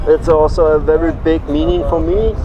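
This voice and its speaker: A German man in his forties speaks English haltingly, with a German accent and a slightly high voice, and keeps leaning on "you know". Wind buffets the microphone and background noise runs throughout. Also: steady tone. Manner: halting, leans heavily on "you know", laughs easily. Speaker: interview guest. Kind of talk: casual interview chat. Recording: noisy background with wind on the mic.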